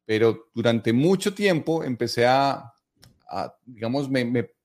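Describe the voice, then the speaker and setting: A middle-aged man from Colombia with a Bogotá accent, answering interview questions conversationally and explaining things in long, measured sentences. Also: medium pitch, expressive, darkish tone. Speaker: interview guest, investing educator.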